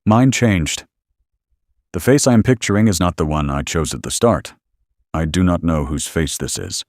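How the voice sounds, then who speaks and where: A man playing a skeptical, sarcastic podcast listener growing unnerved by a horror tale, reacting with deadpan disbelief. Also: low voice, moderate pace, no echo, clean studio sound. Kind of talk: scripted horror podcast banter.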